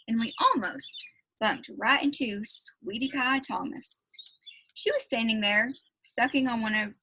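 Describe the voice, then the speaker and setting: A woman's voice: on the high side, pushed and bright, slightly rough, rough recording. A woman reading a children's book aloud as a teacher would, telling the story as narrator.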